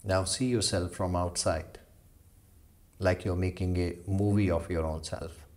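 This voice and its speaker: A man leading a guided meditation as a yoga instructor, giving slow, measured instructions with long pauses. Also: sits low, steady tone, a little mellow.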